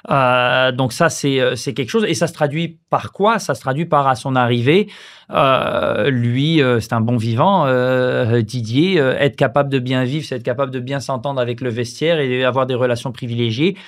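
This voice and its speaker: A man in his thirties from France, a former footballer reflecting on his career in a casual podcast interview, pausing often with hesitations and restarts. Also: medium pitch.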